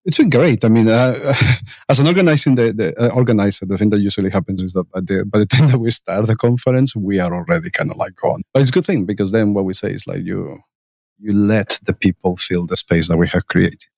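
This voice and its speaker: A man's voice: fairly low pitch, fast, gravelly, darkish tone, dry recording. A man from Spain with a Spanish accent, an agile coach and conference organizer talking as a podcast guest, thoughtful and full of restarts. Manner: thoughtful, many restarts and fillers. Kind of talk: conversational interview. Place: conference venue.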